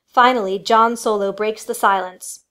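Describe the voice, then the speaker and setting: A woman's voice: medium pitch, fairly even intonation, normal speed, bright, forceful tone. A woman reading scripted storytelling narration for a family radio play.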